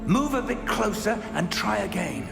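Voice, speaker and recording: An actor playing an older wizard professor in a video game, a measured and wary mentor with a British accent. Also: male voice, high voice, lively intonation, vocal fry, music in the background, heavily acted. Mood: intrigued.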